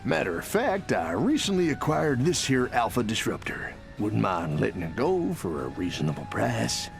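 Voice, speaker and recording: Gravelly, shady arms dealer. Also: male voice, lowish voice, sing-song, normal speed. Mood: sly, chummy. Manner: folksy, sly salesman patter. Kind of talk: video game character acting. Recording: music behind the voice.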